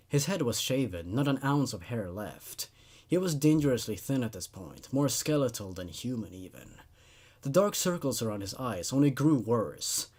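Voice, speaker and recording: A man's voice: medium pitch, darkish tone, husky. A man reading a horror story aloud as host, in a dramatic narrating style.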